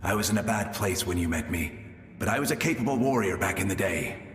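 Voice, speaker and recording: An eager young male warrior from a video game, speaking with lively, swinging intonation. He sounds earnest, in a long echoing reverb with music behind. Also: low voice, fast talker.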